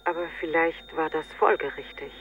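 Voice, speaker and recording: A woman's voice: low voice, fairly even intonation, normal speed, slight background noise. An actress playing a grieving, self-doubting woman in a literary radio monologue, in short introspective fragments through a narrow filter. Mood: reflective, resigned. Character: grieving woman afraid of not being enough. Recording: thin, phone-like narrow band, very dry.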